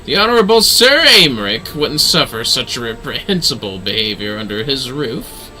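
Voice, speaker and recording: Man as dark knight mentor, fantasy game, dry, formal, ominous, bright forceful tone, animated. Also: mid-range voice, soft background music, poor audio. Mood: wry, calculating. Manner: measured, theatrical, dryly formal. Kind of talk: video game dialogue read aloud.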